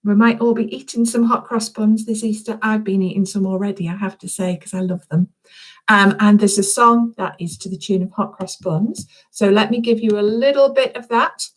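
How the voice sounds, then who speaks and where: A woman's voice: mid-range voice, fairly even intonation, normal speed, rough recording. A woman who is a children's author, chatting informally and encouragingly to young schoolchildren from a room at home, often checking in with little questions. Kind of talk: chatty, informal live video address to schoolchildren.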